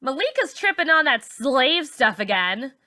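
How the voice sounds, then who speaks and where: A woman's voice: high-pitched, sing-song, measured pace, bright, forceful tone, pitched above the performer's own voice, heavily acted. A woman voicing a bossy, mocking teenage girl who leads her group of friends. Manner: mocking, taunting.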